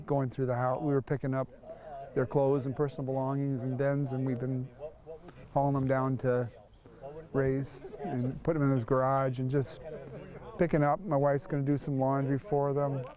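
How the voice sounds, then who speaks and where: A man's voice: medium pitch, flat delivery, normal speed, mellow. A man talking unscripted in a news interview, as a family friend.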